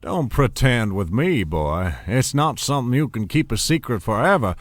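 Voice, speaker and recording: An actor playing an imperious older family patriarch in an audio soap opera, condescending and falsely affectionate. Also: male voice, mid-range voice, big pitch swings, moderate pace, no room sound. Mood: sly, pressing. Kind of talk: soap-opera radio acting.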